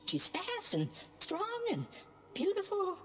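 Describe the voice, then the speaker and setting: A woman voicing a bubbly, nervous young female underworld spirit in a video game, gushing and halting awkwardly. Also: very high voice, wildly swooping pitch, full character voice.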